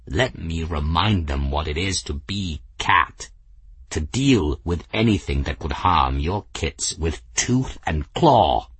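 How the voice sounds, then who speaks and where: A man's voice: rumbling low, animated, takes it slowly, a bit bright, breathy edge, dry recording, voice pushed down, full character voice. A man voicing a proud, fierce male cat prince in a fantasy audiobook, speaking in a formal, coldly menacing oratorical way. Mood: urgent, rousing.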